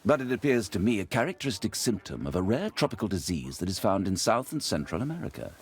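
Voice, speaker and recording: An actor playing a helpful, learned doctor, measured and clinical, in a video game. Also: male voice, fairly low pitch, very animated. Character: helpful, learned male doctor, detective's companion. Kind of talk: video game cutscene acting.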